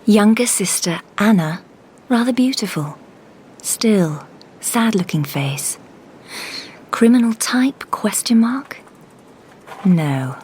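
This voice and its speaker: A crisp, composed lady detective. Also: medium pitch, expressive, takes it slowly, long pauses, a little mellow, slight background noise, poor audio. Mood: clipped. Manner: crisp, composed, clipped when dictating notes. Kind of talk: radio drama acting.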